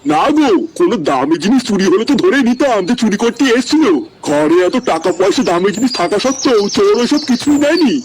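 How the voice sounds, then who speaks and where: Casting: man playing excitable cartoon village gossip, very high voice, swooping and indignant. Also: fast, a little hiss or hum, poor audio. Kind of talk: comedy cartoon voice acting.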